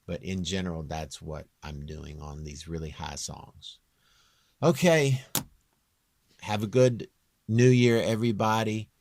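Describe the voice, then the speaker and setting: A man with a very low, near-bass voice giving a casual, off-the-cuff software walkthrough in a rambling, plain-spoken, self-deprecating way. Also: a little slow. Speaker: home-recording singer giving a tutorial.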